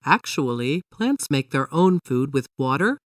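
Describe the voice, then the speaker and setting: A woman's voice: extremely low pitch, sing-song, unhurried, no room sound, studio quality, far from the mic, exaggerated voice. A woman voicing a patient father who explains things to his young daughter in a children's storybook, in an instructive manner. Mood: explaining.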